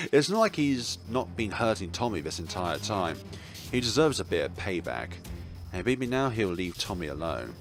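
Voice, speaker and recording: Male let's player, lowish voice, very lively delivery, narrates and comments casually over game music. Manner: expressive, acts out dialogue, chuckles and stumbles over words. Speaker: let's play host. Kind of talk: reading a visual novel aloud with casual commentary.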